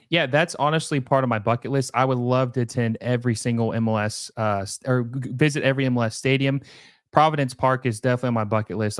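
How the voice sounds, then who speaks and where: A young man chats casually on his live stream, drifting through thoughts with frequent fillers and false starts. His voice is smooth and resonant. The sound is dry and close, with no room around it. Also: mid-range voice, dry recording. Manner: rambling, many fillers and restarts. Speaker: livestream host.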